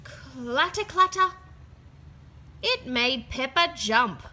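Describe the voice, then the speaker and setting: Lady storyteller reading a kids book, bright high voice, takes her time. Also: drawn-out words. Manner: expressive, dramatic storytelling. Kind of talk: children's book read-aloud.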